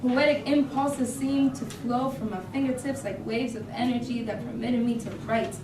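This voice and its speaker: Actress as yearning woman poet, lyrical stage monologue, higher voice, echoey noisy room. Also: fairly even intonation, moderate pace, far from the mic, close to an everyday voice. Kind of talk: staged reading of poetic monologue.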